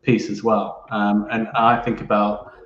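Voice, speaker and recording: A man's voice: lowish voice, completely flat delivery, a little slow, fairly bright tone. A man hosting a live business interview in a casual, conversational way, with somewhat hesitant phrasing, recorded in an echoey room. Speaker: host, company co-founder. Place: indoor room, online live stream. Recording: roomy, muffled microphone with background noise.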